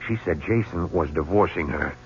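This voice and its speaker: A man's voice: sits low, normal speed, a little mellow. An actor playing a suspicious, agitated man accused of murder who distrusts everyone. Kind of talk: 1970s radio drama acting. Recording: dull old radio recording with faint hiss.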